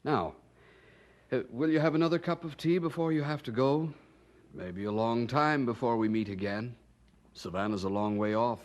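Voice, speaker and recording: An actor playing a witty, worldly gentleman inventor in a radio drama, jovial and urbane in manner. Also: medium pitch, moderate pace, natural voice. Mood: cordial.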